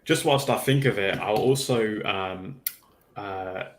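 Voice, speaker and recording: A man's voice: on the low side, slow. A man talking casually as a panellist on a hobby livestream. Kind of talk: casual chat.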